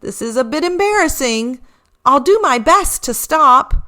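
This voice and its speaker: A woman's voice: sits high, expressive, a little slow, bright, forceful tone, voice pushed up, full character voice. A woman voicing an embarrassed, apologetic young male reindeer in a storybook, with a sheepish, exclaiming delivery.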